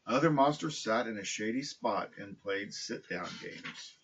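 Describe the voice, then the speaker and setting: A man with a husky voice reading a children's picture book aloud. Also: medium pitch, lively intonation, a little slow, a bit bright, lo-fi sound. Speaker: storybook reader, dog owner.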